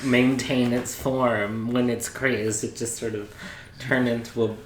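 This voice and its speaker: A man co-hosts a casual group podcast, recounting a film in a conversational way. His voice sits at a middle pitch. The room is echoey, with faint background noise. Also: flat delivery, a little slow. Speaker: podcast co-host. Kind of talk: casual group chat, retelling a movie plot. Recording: roomy echo, background noise.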